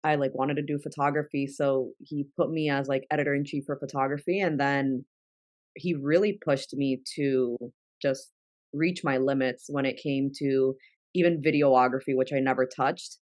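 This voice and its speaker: A woman's voice: extremely low pitch, fairly even intonation, moderate pace, darkish tone, dry recording. Young woman guest, Spanish first language, chatty with fillers, dry close-mic sound. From New York. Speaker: guest, travel content creator. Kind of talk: casual interview talk.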